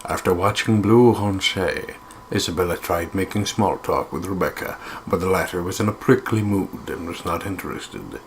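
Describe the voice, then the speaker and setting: A man co-hosting a let's play, reading a game's journal entry aloud in a plain, measured way. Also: deep voice, slight background noise.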